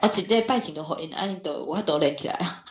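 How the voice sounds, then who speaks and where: A woman's voice: fairly low pitch, quick pace. A woman with a Taiwanese accent politely and casually asking questions as a class participant. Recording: echoey online class audio.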